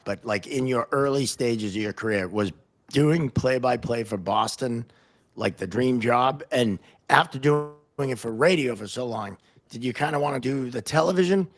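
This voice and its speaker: A man hosting a podcast, asking informal, roundabout questions in a casual chat. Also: medium pitch. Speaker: podcast host, interviewer.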